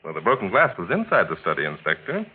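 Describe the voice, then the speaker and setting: An actor playing a suave, amused amateur detective in 1940s radio mystery style, teasing and coolly in command. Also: male voice, deep voice, huge pitch swings, quick pace, breathy edge, heavily acted. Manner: teasing, chuckling, coolly authoritative. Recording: tinny, noisy 1940s radio recording.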